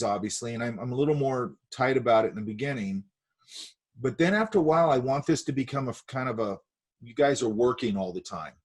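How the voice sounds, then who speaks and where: Male art instructor, husky, sniffly with a cold, talks in loose restarts over a video call. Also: medium pitch. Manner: rambling, many fillers and restarts. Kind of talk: online class lecture.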